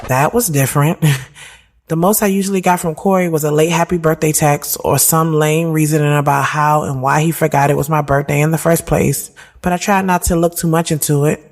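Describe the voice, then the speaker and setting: A woman in her thirties playing a sharp-tongued, moody woman who tells her own story in a candid, slangy audio drama. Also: deep voice, steady tone, a little fast. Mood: candid narrating. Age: 30s. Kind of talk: first-person audio drama narration and dialogue. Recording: music bed behind the voice.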